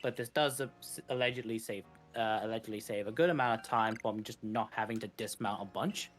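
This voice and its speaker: A man's voice: lowish voice, fairly quick, soft background music. A man giving casual live commentary on a video game run, explaining it in a wry, tongue-in-cheek way. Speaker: speedrun co-commentator.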